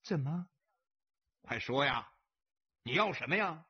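A man's voice: on the high side, animated, a little slow, breathy edge, highs cut off, heavily acted. A man voicing a flirtatious, coy kept woman in an audiobook story. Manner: coy, giggly, drawling.

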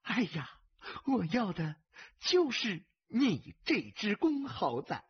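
Man performing flirtatious woman, coy, drawling, teasing, husky, slightly raised pitch, audiobook character. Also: wildly swooping pitch, slow pace, highs cut off, heavily acted. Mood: giddy teasing.

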